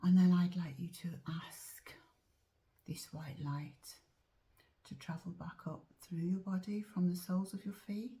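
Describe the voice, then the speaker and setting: A woman guiding a meditation in a soft, often whispered voice, slow and drawn-out, a little chesty as she is losing her voice. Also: on the low side, steady tone. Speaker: meditation teacher. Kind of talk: guided meditation.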